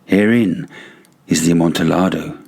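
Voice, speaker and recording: A man's voice: sits low, moderate pace, creaky, a little mellow, voice pushed down, full character voice. A man voicing a sly, vengeful nobleman in a gothic audiobook, coaxing with false concern. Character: sly, falsely solicitous vengeful nobleman luring his victim. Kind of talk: gothic audiobook character acting.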